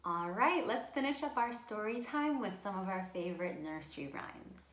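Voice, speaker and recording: A woman leads a storytime for small children with very lively, swooping intonation. Her delivery is encouraging and sing-song as she talks straight to the kids. The sound is roomy and echoing. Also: mid-range voice, normal speed, a little hiss or hum. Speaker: children's storytime host at a library. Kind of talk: interactive storytime for young children.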